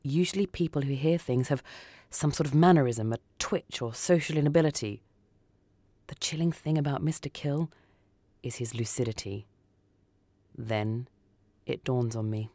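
A man reads a first-person suspense story for radio. His tone is mellow and dark at a middle pitch, and the recording is close and dry. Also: normal speed, pauses often, no echo. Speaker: story reader.

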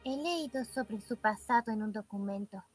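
A quiet young girl from a video game, played by a woman. Her voice is fairly high, subdued and emotionless, with faint music behind it. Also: moderate pace, natural voice. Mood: solemn. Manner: subdued, detached. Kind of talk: fan game dubbing.

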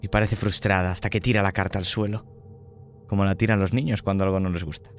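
A man as game master, narrating a tabletop horror game in an unhurried, descriptive way. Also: deep voice, flat delivery, faint music behind.

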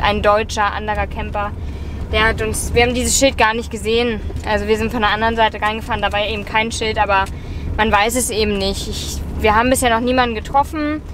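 A young woman with a high voice chats casually into a camera held at arm's length, filling pauses with ums. She is vlogging outdoors by the sea, with faint wind noise behind her. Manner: chatty, with frequent ums. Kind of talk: casual travel vlog chat. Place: outdoors by a beach, later inside a van.

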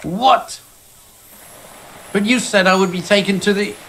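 An actor playing an embittered, unstable old deserter in a video game, with a strained, creaky voice and agitated, erratic delivery. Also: male voice, high voice, a little slow, sharp tone, a little hiss or hum, exaggerated voice. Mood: bitter outrage. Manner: agitated, erratic, swinging between rage and despair.

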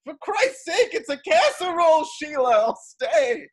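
A performer voicing a cranky, loud old male talent agent with a blustering manner over a video call. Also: extremely high pitch, expressive, measured pace, pitched above the performer's own voice. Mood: exasperated. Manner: exasperated, blustering.

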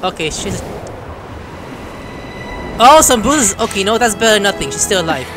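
A woman streaming a game, giving casual, excitable, sweary commentary. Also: mid-range voice, moderate pace. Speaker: gaming streamer. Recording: game music playing behind the voice.

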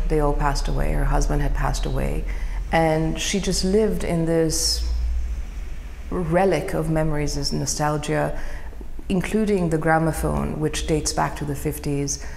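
Low, gravelly-voiced woman in an echoey room. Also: a little mellow, slight background noise. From Iran. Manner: thoughtful, searching for words, many fillers and restarts. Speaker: photographer. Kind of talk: reflective interview monologue.

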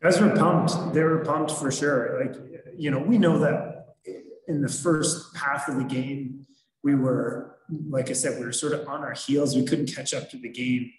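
A middle-aged man, a hockey head coach, answering postgame questions over a video call in a measured, analytical way with frequent fillers. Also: medium pitch, steady tone. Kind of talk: postgame press conference answers. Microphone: video call webcam. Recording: roomy echo.

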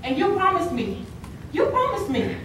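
A woman's voice: a little high, moderate pace, close to an everyday voice. A woman playing a distressed figure in a theatrical stage recitation of poetry. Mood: distraught. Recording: echoey hall, far off, noisy.

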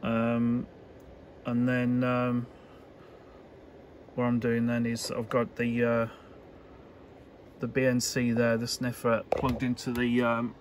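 An unhurried man with a mid-pitched voice talks through a hobby demonstration. His delivery is casual and halting, pieced together as he goes. He is recorded indoors with clearly audible background noise. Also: completely flat delivery. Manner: hesitant, unscripted, halting phrasing. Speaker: electronics hobbyist presenter. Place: indoor electronics workbench.

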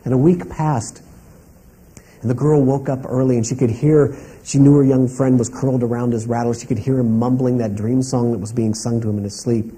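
A man telling a story aloud in a calm, rhythmic way, linking each step with "and". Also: medium pitch, a little fast, husky, poor audio. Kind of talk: oral storytelling.

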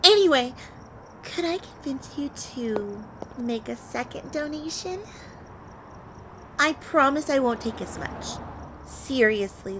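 Over light background noise, an actress plays a confident, flirtatious vampire woman in an intimate audio roleplay. Her voice sits a little high with a bright edge. She teases the listener playfully, as if speaking to them one on one. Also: heavily acted, low-quality recording. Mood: playful, coaxing. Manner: playful, teasing, unhurried delivery with soft breathy asides.

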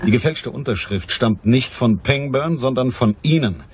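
A man playing a blunt, unyielding private detective in a crime radio play, with a dry, deliberate delivery. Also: mid-range voice, husky, natural voice. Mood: stern, accusing. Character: hard-boiled, stocky male private detective, blunt and unyielding.

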